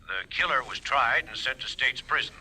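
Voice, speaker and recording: A man's voice: mid-range voice, animated, moderate pace, sharp tone, rough recording. An actor playing a genial, folksy American businessman phoning an old pal in a 1950s radio drama. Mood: concerned.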